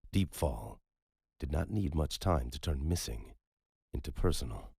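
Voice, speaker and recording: A man reading scripted dramatic fiction as an audiobook narrator, in a husky voice. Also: rumbling low, darkish tone, dry recording, clean studio sound.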